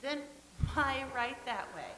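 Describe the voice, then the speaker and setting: An actress playing a dreamy young actress hoping to marry, earnest and lovestruck, in a staged play reading. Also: female voice, sits high, slow pace, pushed and bright, echoey room, exaggerated voice.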